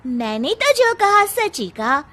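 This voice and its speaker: A woman's voice: very high voice, sing-song, slow, pushed and bright, full character voice. A woman voicing a rude, smug college-age girl who teases her family, in an exaggerated sneering cartoon manner. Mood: mocking. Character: young mocking, giggling college-girl sister-in-law, rude and smug. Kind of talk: Hindi cartoon story dialogue.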